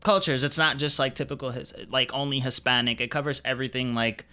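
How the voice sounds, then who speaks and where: A man's voice: medium pitch, steady tone, quick pace, bright, forceful tone, smooth. A man co-hosting a podcast, talking casually and opinionatedly about films in an informal, chatty way. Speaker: podcast co-host.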